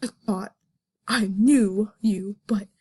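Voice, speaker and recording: A woman's voice: medium pitch, takes it slowly, darkish tone, slightly rough, voice pushed down. A woman voicing a hurt, accusing grown male fox, melodramatic and reproachful, in an amateur comic reading. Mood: bitter.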